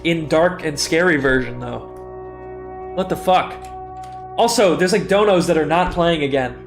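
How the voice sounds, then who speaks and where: A man's voice: on the high side, moderate pace, gravelly, faint music behind. A young man with a stuffy nose, tired and self-deprecating, rambling through casual commentary on a live game stream.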